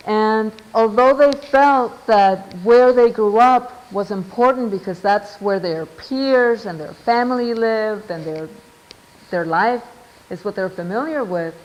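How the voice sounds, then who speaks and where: A middle-aged woman lecturing at an academic seminar. She speaks in a measured, thoughtful way, pausing with fillers and asking "right?" to keep her listeners with her. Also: medium pitch, a little hiss or hum, poor audio. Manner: measured, reads out quotes, frequent ums and "right?". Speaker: researcher giving a seminar talk.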